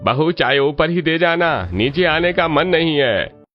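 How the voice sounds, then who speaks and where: A man acts out an older father-in-law from a family cartoon. He is homely and full of exclamations, with the voice pushed higher. The audio is a bit dull. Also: animated, unhurried. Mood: contented, bossy.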